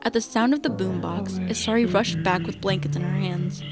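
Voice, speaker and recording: A woman narrates a scripted radio drama. Her voice is medium-pitched, in a storytelling style, with music softly underneath. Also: a little fast.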